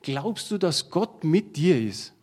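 A man's voice: a little high, big pitch swings, takes it slowly, a little mellow. A middle-aged man preaching a sermon to his congregation, talking in a plain conversational way and drawing on everyday examples. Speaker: pastor.

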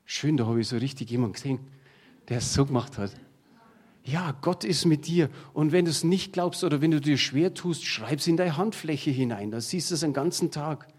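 Middle-aged guy preaching, kinda husky, a bit high for a man, chatty sermon style. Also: normal speed, darkish tone. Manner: conversational preaching with everyday examples. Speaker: pastor.

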